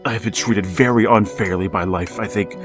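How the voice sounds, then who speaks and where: A man's voice: on the low side, fairly even intonation, a little fast, natural voice. An actor playing a grieving, middle-aged father who narrates and pleads desperately to reach his dead son, in a vintage-style radio drama. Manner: anguished, pleading, rising to frantic outbursts. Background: music.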